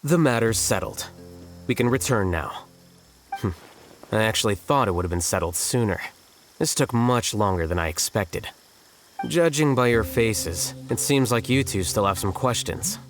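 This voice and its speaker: A man's voice: fairly low pitch, expressive, normal speed, faint music behind. A man voicing an aloof, blunt young scholar in a video game, dry, matter-of-fact and coolly dismissive.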